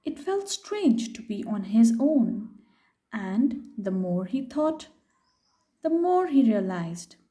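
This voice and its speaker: A woman reading a picture book aloud to children in an expressive, unhurried way, pausing to put questions to her young listeners. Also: high voice.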